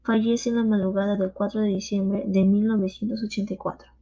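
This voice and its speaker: A woman reading a narration in a somber, measured way. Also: medium pitch, little pitch movement, warm tone. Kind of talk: read narration. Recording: dull lo-fi recording.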